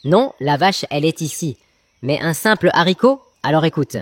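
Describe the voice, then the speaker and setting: A man's voice: a little high, animated, moderate pace, dry recording. A man playing a cheeky, argumentative young farm lad in a children's cartoon fairy tale, eager and playful. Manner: cheeky, eager, pun-loving. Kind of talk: children's cartoon storybook acting.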